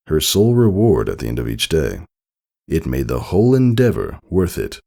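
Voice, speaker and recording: A man reading a story aloud in expressive scripted narration. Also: low voice, vocal fry.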